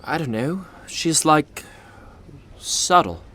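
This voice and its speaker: An actor playing a lovestruck young royal fiancé in an audio romantic comedy, halting, earnest and easily flustered. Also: male voice, on the high side, lively intonation, sharp tone, exaggerated voice. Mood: hesitant, thoughtful. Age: young adult.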